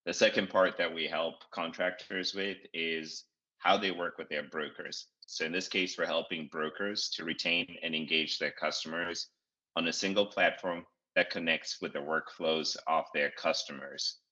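CEO guest, very deep and bright, measured with many pauses, on a dull lo-fi recording. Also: male voice, steady tone. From Zimbabwe. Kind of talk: interview answers. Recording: dull lo-fi audio.

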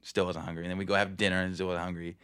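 A man hosting a podcast who tells stories in a slangy, emphatic, casual way. His voice is very deep. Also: little pitch movement, rapid-fire, a bit bright, clear, resonant tone.

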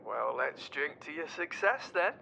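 A man's voice: on the high side, very animated, unhurried, creaky, bright, forceful tone, heavily acted. A man playing a cheery, affectionate boyfriend in an audio drama, heard over a communications link. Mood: encouraging, fond. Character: cheery, affectionate boyfriend of a slum factory worker. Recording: slightly dull phone link, faint noise.

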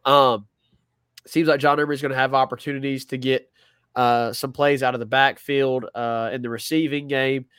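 A man's voice: medium pitch, flat delivery, normal speed, fairly bright tone, smooth, no room sound, clean studio sound. A man with a stuffy nose from a cold, co-hosting a casual sports talk show and thinking aloud with lots of restarts. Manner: rambling, self-correcting, thinking aloud. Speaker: podcast co-host.

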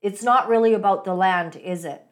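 A woman's voice: mid-range voice, normal speed. Hesitant woman asking interview questions. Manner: hesitant, with fillers. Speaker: podcast host.

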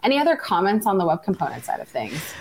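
A woman's voice: medium pitch, very animated, quick pace, gravelly, a little mellow, slight background noise. A woman hosting a podcast, playful and teasing in casual conversation. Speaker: podcast host.

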